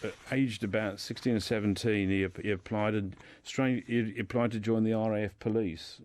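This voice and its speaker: A man with a deep voice talks as a guest in a radio discussion. He is hesitant, often doubling back on words as he explains. The sound is very dry, with little room around him. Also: fairly even intonation, darkish tone, no echo. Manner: hesitant, halting, repeats words. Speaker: guest discussing a writer's life and books.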